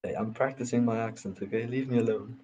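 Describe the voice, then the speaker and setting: A man in voice chat loudly protesting in a joking way. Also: medium pitch, fairly even intonation, quick pace. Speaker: friend in voice chat. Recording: dull, lo-fi voice chat with faint hiss.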